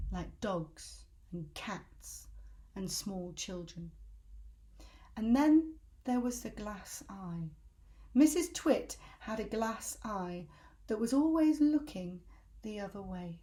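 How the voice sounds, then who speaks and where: A woman's voice: mid-range voice, mellow. A woman reading a children's story aloud as a storyteller, with dramatic, emphatic delivery.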